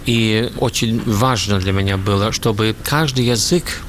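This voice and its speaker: A man from Sweden, an opera singer, answering interview questions in a halting way, searching for words, with slightly non-native phrasing. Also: fairly low pitch, a bit bright. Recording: noisy radio interview recording.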